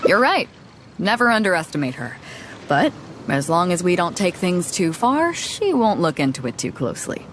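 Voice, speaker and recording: A woman voicing a confident, easygoing female ship captain in a video game cutscene, speaking in a relaxed, knowing way. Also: fairly low pitch, normal speed, a little hiss or hum. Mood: sly. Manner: relaxed, knowing, laughs often. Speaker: fleet captain. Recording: game music under the voice.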